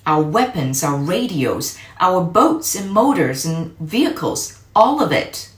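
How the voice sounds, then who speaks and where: Storybook uncle read by a woman: disciplined military man, serious mentor, measured pace, firm emphasis, echoey room. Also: lowish voice, very animated. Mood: stern. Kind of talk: storybook character voice.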